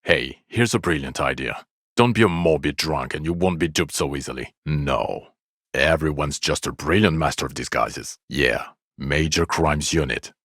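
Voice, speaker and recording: Very deep, gravelly, bitter police detective. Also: male voice, moderate pace, a bit bright, no echo, clean studio sound, exaggerated voice. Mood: weary sarcasm. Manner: sardonic, contemptuous, weary scolding. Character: bitter, exasperated, foul-mouthed male police detective, scathingly sarcastic. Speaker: video game voice actor. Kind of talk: video game character dialogue.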